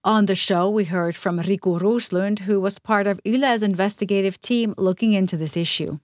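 A woman's voice: medium pitch, normal speed, no room sound, clean studio sound. Woman co-hosting a news podcast. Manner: clear, even, scripted reading. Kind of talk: scripted news review.

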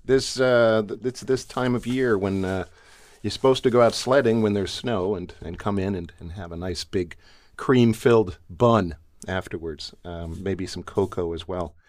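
A man hosts a relaxed radio chat, asking questions with a wry edge. His voice sits on the low side. The studio sound is dry. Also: moderate pace, few pauses, no echo. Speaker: radio show host.

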